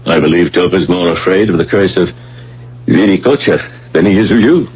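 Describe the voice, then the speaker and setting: Husky, stubborn male professor. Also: sits low, brisk, darkish tone. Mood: observant, challenging. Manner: firm, formal, defiant. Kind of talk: radio drama acting. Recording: dull old radio broadcast.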